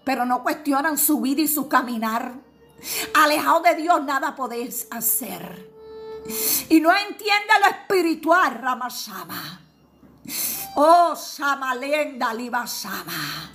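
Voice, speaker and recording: A woman's voice: high-pitched, sharp tone. A woman preaching a fervent prophetic Pentecostal sermon, exhorting emphatically and repeating her phrases, with bursts of speaking in tongues.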